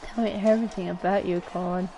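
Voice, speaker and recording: A woman's voice: mid-range voice, steady tone, quick pace, a crowd in the background, poor audio. A woman giving casual gaming commentary, blunt and teasing, swearing now and then. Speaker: gamer commentating. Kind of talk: casual let's-play commentary.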